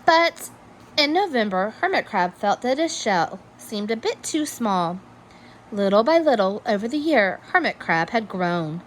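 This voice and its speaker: A woman reading a children's story aloud in an expressive, measured storytelling manner. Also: mid-range voice, slight background noise. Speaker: storybook reader. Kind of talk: children's read-aloud narration.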